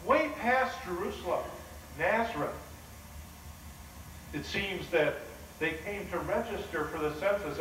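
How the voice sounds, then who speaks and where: Awed shepherd, slow and wondering, played by a man, in an echoing church, heard from afar. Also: high voice, slight background noise. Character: humble shepherd recounting the angels' visit. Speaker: pastor. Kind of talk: first-person dramatic sermon monologue. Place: large church sanctuary. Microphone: far video camera. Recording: roomy echoing church, distant camera.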